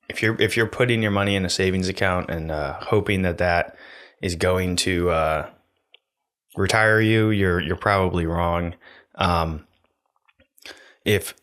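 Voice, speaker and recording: Podcast co-host: low-voiced young man, steady monotone, soothing casual talk, close mic. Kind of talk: casual chat. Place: small room indoors. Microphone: studio mic on stand, close.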